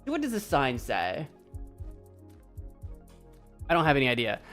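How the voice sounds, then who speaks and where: A woman streaming a game, chatting casually, cracking jokes, laughing often and thinking out loud. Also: rumbling low, wildly swooping pitch, a little slow, soft background music. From United States. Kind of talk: casual live-stream commentary.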